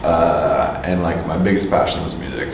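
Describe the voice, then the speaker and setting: Man, low voice, unhurried, full of fillers, distant mic, roomy echo, faint hum. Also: steady tone. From Canada. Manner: halting, lots of fillers and restarts. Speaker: musician and agency owner. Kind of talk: casual interview answers.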